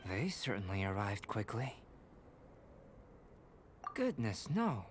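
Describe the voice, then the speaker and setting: An actor playing a calm, polite young alchemist in an anime-style video game, explaining things in a measured, formal, analytical way. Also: male voice, fairly low pitch, heavy background noise. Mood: composed. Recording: studio voice over game music. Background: background music.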